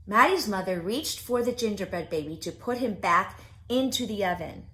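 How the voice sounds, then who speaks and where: A woman reading a picture book aloud for preschoolers, expressive and speaking directly to her young listeners. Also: mid-range voice, moderate pace. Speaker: children's story reader.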